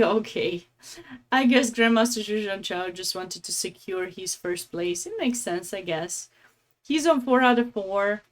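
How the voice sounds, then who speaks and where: A woman from Romania with a Romanian accent, giving casual, chatty live game commentary as a streamer, with affectionate asides. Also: medium pitch.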